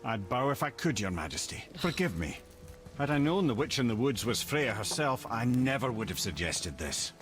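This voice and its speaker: Casting: wise, courtly severed head, mid-pitched and very expressive, wry fantasy game advisor. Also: male voice, normal speed, soft background music. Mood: polite, rueful. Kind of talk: cinematic game voice acting.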